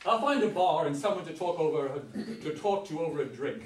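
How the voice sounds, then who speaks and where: A man's voice: on the high side, lively intonation, fairly quick, natural voice. An older man reading the part of a talkative, folksy old ex-convict hitchhiker in a staged script reading. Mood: wry, insistent. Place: meeting room with long table, indoors. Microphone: far camera. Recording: roomy, distant mic, some ambient noise.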